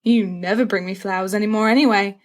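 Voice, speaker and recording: A woman's voice: mid-range voice, fairly even intonation, quick pace, lightly acted. Woman doing a cocky teen wizard boy from a storybook, dramatic, teasing, mock-grand. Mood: theatrically wounded. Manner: theatrical, teasing, flowery speech. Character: dramatic, scornful, mischievous teenage wizard schoolboy.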